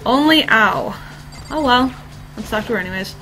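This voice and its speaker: A woman with a slightly hoarse, fading voice, giving casual, irreverent commentary while playing a game as host. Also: fairly high pitch, animated, moderate pace, pushed and bright, faint music behind, poor audio. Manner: casual and irreverent, with mild swearing. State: losing her voice, slightly hoarse. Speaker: gaming video host. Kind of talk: let's play commentary.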